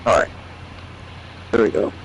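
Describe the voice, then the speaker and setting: A man's voice: measured pace, noisy background. A man playing an online flight game with a friend, bantering back in a competitive, casual way. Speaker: friend and co-player. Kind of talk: casual gameplay chat.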